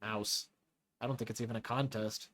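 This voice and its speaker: A man's voice: lowish voice, fairly even intonation, normal speed, a bit bright, lightly acted. A man voicing a wary male lawman who asks dry, understated questions.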